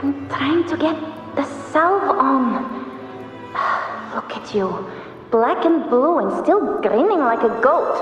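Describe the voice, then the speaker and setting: Chatty young girl in a game, high and swoopy, drenched in echo like a memory. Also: lively intonation, slow, far from the mic. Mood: fond, chatty. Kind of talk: video game voice acting. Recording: echoey muffled hall, faint music.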